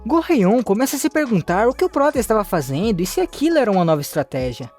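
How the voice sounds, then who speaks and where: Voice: medium pitch, very animated, fast, a little mellow, faint music behind. A narrator with a Brazilian accent reading a scripted story recap. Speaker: narrator of a manhwa recap channel.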